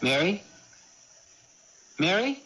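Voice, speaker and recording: An actor playing an earnest, patient young man, gently instructing his sister in a radio drama. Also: sits high, very animated, drawn-out words, full character voice. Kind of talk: 1980s radio drama acting. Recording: dull, hissy old radio broadcast recording.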